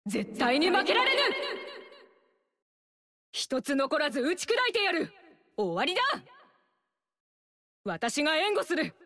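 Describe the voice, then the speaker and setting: Proud young game warrior woman; high, slow and commanding, dry studio. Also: pushed and bright, no echo, full character voice. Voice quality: firm, commanding. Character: proud young female warrior, a warlord's daughter. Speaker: game character. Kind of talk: game voice lines.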